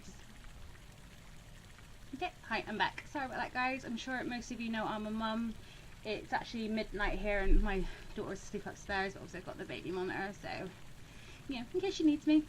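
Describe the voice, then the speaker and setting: A woman's voice: medium pitch, expressive, brisk, slight background noise, rough recording. A woman hosting a gaming playthrough with casual, chatty and apologetic commentary. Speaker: gaming video host.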